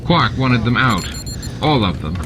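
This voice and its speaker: A man's voice: medium pitch, big pitch swings, unhurried, noisy background. A man reading a novel aloud as scripted, dramatic audiobook narration. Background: music and sound effects added to the reading.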